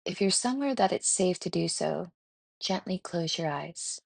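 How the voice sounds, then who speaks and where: A woman leading a guided meditation in a gentle, soothing, unhurried way with pauses. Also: on the low side, lively intonation, creaky, slightly rough, dry recording.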